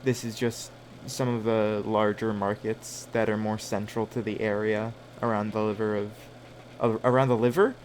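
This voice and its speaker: A man running a tabletop game as game master, improvising scene descriptions in a halting way as he searches for words. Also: on the low side, level tone, a little hiss or hum.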